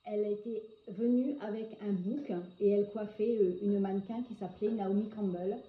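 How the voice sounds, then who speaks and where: A woman in her forties from northern France, a hairdresser answering interview questions in a hesitant way, often pausing on filler sounds. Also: medium pitch, steady tone. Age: 40s. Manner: hesitant, frequent filler pauses. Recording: echoey, dull lo-fi sound with faint noise.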